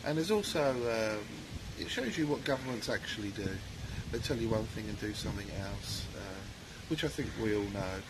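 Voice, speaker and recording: A man answers interview questions at an outdoor cafe. He speaks earnestly but hesitantly, filling gaps with ums. Clear background noise runs under his voice. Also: on the low side, expressive, normal speed. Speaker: guest, author and former soldier.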